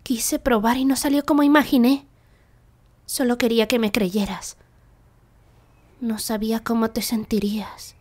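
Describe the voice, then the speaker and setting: A woman voicing a shy, earnest teenage schoolgirl in an anime fan dub, soft-spoken, hesitant and apologetic. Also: on the high side, steady tone, a little slow, long pauses.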